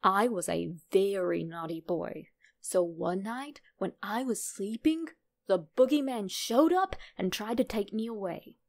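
Woman reading a creepy story, slow and deliberate, lots of vocal fry. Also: mid-range voice, expressive. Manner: measured, deliberate. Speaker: narrator. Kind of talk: dramatic horror story narration.

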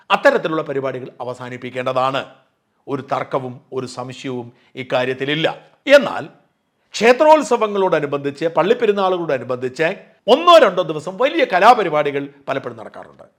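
A middle-aged man from Kerala talks quickly and pointedly as the host of a news channel. His voice sits a little high for a man, and he argues his case with emphasis. He backs his points with his own experience. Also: fairly bright tone. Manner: emphatic, argumentative, drawing on personal experience. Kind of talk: opinion commentary.

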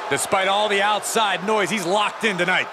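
Guy doing excitable arguey wrestling commentator for a game, high voice, noisy arena. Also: moderate pace, crowd noise, natural voice. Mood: hyping. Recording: noisy arena ambience behind.

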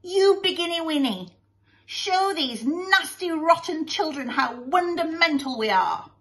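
A woman's voice: very high-pitched, animated, unhurried, bright, forceful tone, pitched above the performer's own voice, heavily acted. A woman voicing a smug, soppy little storybook schoolboy who talks in whiny, sugary baby talk. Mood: sugary, adoring.

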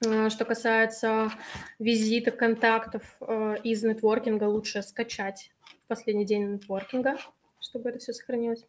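A woman in her thirties, a little hoarse from allergies, hosting a live webinar on a video call and reading out and answering viewers' chat messages in a friendly way. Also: a little high, level tone, normal speed. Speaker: webinar host. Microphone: webcam on a video call.

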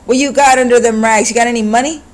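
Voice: mid-range voice, steady tone, fast talker, pitched above the performer's own voice, natural voice. A reader voicing slurred, menacing street thugs. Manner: slurred threatening street talk. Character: rough menacing men robbing an old man.

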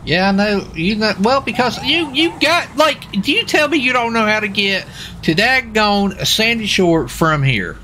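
A man's voice: high-pitched, lively intonation, a little slow, bright, forceful tone. A man rambling in a joking, folksy way during casual in-character gaming banter. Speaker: role-play gamer playing a US marshal. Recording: game voice chat with clear vehicle noise.

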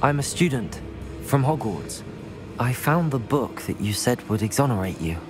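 An actor playing an earnest, polite teenage wizard student in a video game. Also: male voice, mid-range voice, sing-song, normal speed, lightly acted. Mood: subdued, curious. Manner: polite, plain-spoken, earnest. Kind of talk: video game character acting. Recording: music behind.

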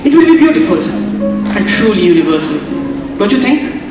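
A man's voice: sits high, sing-song, moderate pace. Young man playing a lofty dreamy poet. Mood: musing. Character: earnest idealistic young male poet. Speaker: student actor. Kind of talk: school stage play acting. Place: large auditorium stage. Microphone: far camera. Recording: echoey hall from far off, music.

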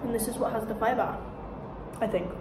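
A woman's voice: fairly high pitch, a little fast, noisy background. A young woman chatting to her phone camera in a casual, rambling, self-deprecating vlog, filmed in a small kitchen and a bare room. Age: young adult. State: out of breath, blocked nose. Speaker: vlogger. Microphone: phone camera propped nearby. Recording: echoey room.

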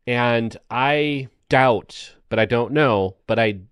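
A man hosting an explanatory, cautionary monologue, speaking in a measured, emphatic way with frequent caveats. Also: mid-range voice, a bit bright, clean tone, no room sound, studio quality. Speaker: video host.

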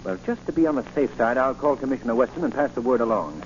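An actor playing a suave, composed gentleman sleuth, courteous and assured, in 1940s radio style. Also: medium pitch, lively intonation, fast, lightly acted. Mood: intrigued, reassuring. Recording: muffled, hissy 1940 radio recording.

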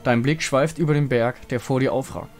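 A man's voice: mid-range voice, steady tone, brisk. A man narrating a fantasy game story as a scripted, dramatic reading. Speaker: narrator voicing a visual novel. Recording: music behind the voice. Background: music.

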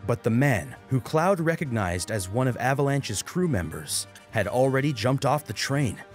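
A man narrating a scripted story as a dramatic audiobook reader. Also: medium pitch. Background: music.

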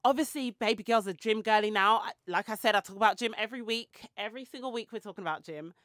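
A woman's voice: mid-range voice, fast, a bit bright, dry recording, studio quality. A woman in her forties hosting a podcast, chatting casually into a handheld mic in a small room and rambling into tangents and self-mocking asides. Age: 40s. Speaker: podcast host. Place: small indoor room with shelves and clothes rails. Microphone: handheld mic held close.

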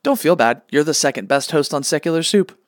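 A man's voice: a little high, expressive, brisk, dry recording, studio quality, close to an everyday voice. A man playing a petulant, imperious god who acts like a gaming mama's boy in a comedic radio play. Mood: bossy, flippant. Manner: flippant, whiny, imperious.

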